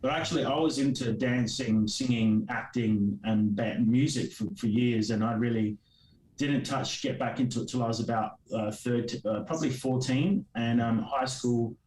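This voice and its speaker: A man's voice: medium pitch, normal speed, a little mellow. A man in his forties with an Australian accent and Greek Cypriot as his first language, rambling through a casual interview with many fillers and restarts. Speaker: guest comic creator. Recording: roomy, distant mic.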